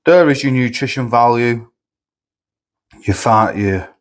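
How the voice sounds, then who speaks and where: A man's voice: mid-range voice, slow pace. A man reviewing supermarket food at home, chatty and rambling, sniffly with a cold coming on. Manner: chatty, rambling, repeats himself. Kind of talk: casual food review vlog. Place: home kitchen.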